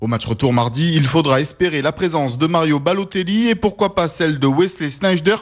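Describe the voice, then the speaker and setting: Sports reporter, male, slightly high voice, scripted radio report. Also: brisk.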